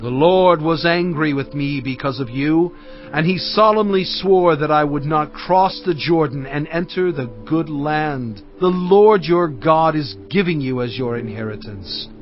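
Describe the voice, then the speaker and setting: A fervent prophet in a scripture audio drama. His higher-pitched voice preaches gravely and with measured emphasis. The sound is slightly dull, with music underneath. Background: cinematic music.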